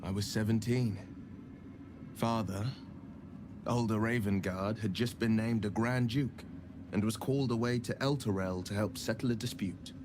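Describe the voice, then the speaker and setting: An actor playing a noble, resolute young hero who speaks in an earnest, rousing way. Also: male voice, on the low side, steady tone, moderate pace, noisy background, close to an everyday voice. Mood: storytelling. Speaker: game companion. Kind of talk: video game dialogue.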